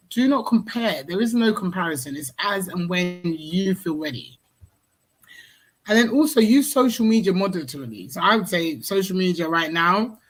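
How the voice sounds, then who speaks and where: Woman, husky mid voice, direct coaching tone. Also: fairly low pitch. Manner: direct, coaching, lots of "you know". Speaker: workshop co-host. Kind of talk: live online workshop advice.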